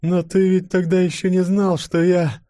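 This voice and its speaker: A man's voice: sits high, flat delivery, moderate pace, warm tone, no echo, studio quality, voice pushed up, close to an everyday voice. A man voicing an anguished, furious female double agent in an audiobook drama, speaking in dramatic, accusing exclamations. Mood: shocked.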